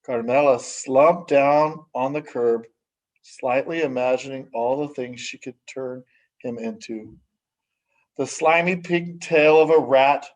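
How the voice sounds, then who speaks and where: Slow-paced man reading a picture book. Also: mid-range voice, rough recording. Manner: measured, dramatic phrasing with hushed sentence endings. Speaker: story reader. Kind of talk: expressive children's picture book read-aloud.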